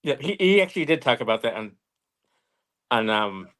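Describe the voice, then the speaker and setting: A man's voice: mid-range voice, very animated, normal speed, fairly bright tone. A man as an interview guest, chatting casually and telling stories in a plain-spoken way with short simple answers. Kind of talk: casual storytelling chat.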